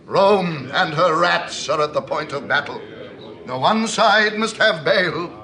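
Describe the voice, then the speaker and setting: An actor playing a genial, wily Roman patrician in a Shakespearean drama, with a husky voice and a teasing, theatrical delivery. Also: male voice, a little high, slow pace, pushed and bright, heavily acted. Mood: scornful, rousing. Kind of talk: classical Shakespearean stage acting.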